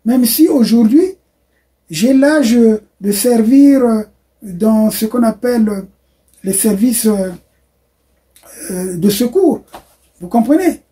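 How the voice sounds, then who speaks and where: A man's voice: very high voice, animated, takes it slowly, long pauses, warm tone. A middle-aged man hosting a political discussion, affirming his guest with "voilà" and drifting into long explanations. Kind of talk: political talk show discussion.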